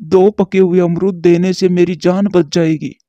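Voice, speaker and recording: A man's voice: sits high, little pitch movement, a little slow, mellow, no room sound, muffled recording. A man doing a timid, frightened cartoon parrot. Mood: nervous. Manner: pleading, nervous.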